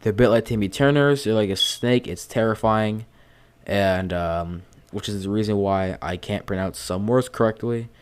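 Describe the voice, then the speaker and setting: A man with a lisp and a stutter, talking slowly and calmly through a casual, swear-filled commentary recorded at home. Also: on the low side, smooth. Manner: deliberately slow and calm delivery. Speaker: YouTube commentator.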